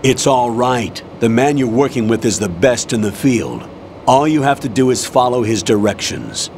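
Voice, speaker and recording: A man's voice: medium pitch, expressive, moderate pace, darkish tone, slight background noise, natural voice. A man playing a firm military colonel. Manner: firm, commanding. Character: male military colonel, authoritative commander. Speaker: commanding officer. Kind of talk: radio call in a game cutscene.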